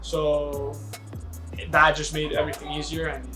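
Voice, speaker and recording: Fluent Venezuelan man, slightly high voice. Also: monotone, unhurried, over music. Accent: Venezuelan Spanish. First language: Spanish. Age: early 20s. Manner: fluent, explanatory, "you know" fillers. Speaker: university soccer player. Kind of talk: documentary interview.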